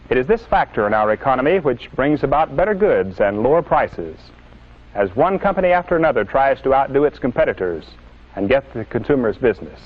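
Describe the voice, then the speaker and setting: An American man delivering an earnest, didactic scripted lecture in the manner of a 1950s propaganda film, with emphatic oratory. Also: medium pitch. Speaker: presenter lecturing on citizenship. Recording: dull lo-fi 1950s film soundtrack.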